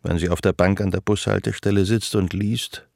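A man narrating a radio drama in a measured, literary storytelling manner. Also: low-pitched, fairly even intonation, creaky, darkish tone, no echo, clean studio sound.

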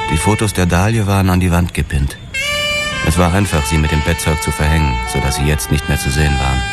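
An actor playing a brooding hard-boiled policeman who tells his own downfall in terse noir radio drama narration. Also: extremely low pitch. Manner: terse, hard-bitten storytelling. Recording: loud music behind.

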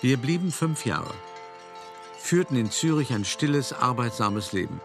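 An older art dealer looking back on his life in a radio play. He is wry and a little self-important, speaking articulately and theatrically with pointed pauses. His pitch is middling for a man, and music plays clearly behind him. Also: sing-song. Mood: wry narration. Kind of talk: theatrical first-person monologue, storytelling.

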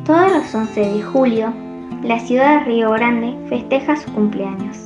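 A woman's voice: on the high side, unhurried. A woman with an Argentine accent reading scripted historical narration as a radio segment presenter. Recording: dull roomy audio, music behind.

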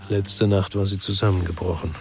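Low-voiced brooding ex-cop. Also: male voice, level tone, brisk, over music. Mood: reflective. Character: brooding male ex-policeman narrating his own crime story. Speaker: first-person narrator and lead actor. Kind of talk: literary radio crime drama narration.

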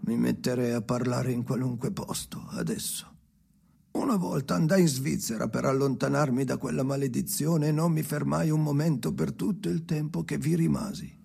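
An actor playing a haunted, restless man in an avant-garde radio drama monologue, theatrical and halting, with a gravelly voice and obsessive delivery. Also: medium pitch. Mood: brooding, confiding. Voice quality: gravelly, creaky. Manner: theatrical, halting, obsessive, swinging from murmurs to shouts. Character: Henry, haunted, restless man talking to his dead father.

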